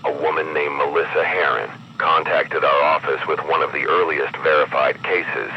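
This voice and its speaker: A man with an extremely low voice narrates a horror-style emergency broadcast. He is grave and measured, with an ominous announcer's delivery. The sound is dulled, with clear noise humming behind him. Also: little pitch movement, highs cut off.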